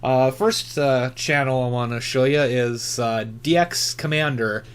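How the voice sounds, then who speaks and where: A man giving casual, unscripted commentary as a hobbyist channel host, rambling and haltingly correcting himself as he goes. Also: mid-range voice, clear, resonant tone.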